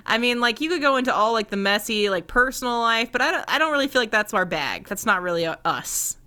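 A woman in her thirties with a bright, lively tone co-hosts a relaxed podcast chat. She talks loosely, laughs often and pokes fun at herself. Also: a little high, quick pace. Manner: laughs often, chatty and self-deprecating. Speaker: podcast co-host. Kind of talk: casual chat.

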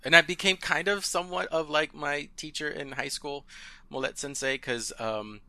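A man's voice: fairly high pitch, fairly even intonation, normal speed, bright, forceful tone, no echo. A man in his thirties whose first language is Spanish, chatting as a podcast guest in a hesitant way, full of fillers and easy laughter. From Southern California. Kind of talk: casual interview chat.

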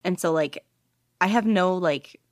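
A woman's voice: lowish voice, a little slow, dry recording, studio quality. A woman guest chatting casually in an interview, rambling with lots of fillers and frequent giggles. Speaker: podfic reader and guest.

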